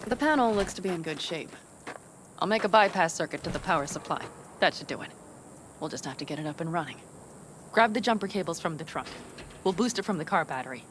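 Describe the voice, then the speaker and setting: A woman's voice: fairly low pitch, animated, fast, background noise, lightly acted. An actress playing a tough, blunt young nomad woman in a video game, plain-spoken and sardonic. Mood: brisk, resolute. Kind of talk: naturalistic video game voice acting.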